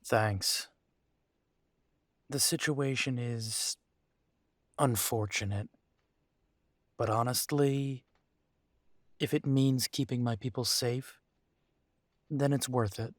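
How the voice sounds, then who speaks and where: A man's voice: mid-range voice, fairly even intonation, slow, pauses often, no room sound, studio quality, close to an everyday voice. A man playing a protective new werewolf pack leader in an audio roleplay, speaking earnestly and with some hesitation. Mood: somber.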